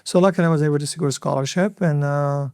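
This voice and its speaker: A man in his fifties from Lebanon, an Arabic speaker, recounting his life in an earnest, reflective interview with many filler pauses. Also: a little high, steady tone, a little mellow, clean tone, no echo, clean studio sound. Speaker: hospital CEO, podcast guest. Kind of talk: interview storytelling.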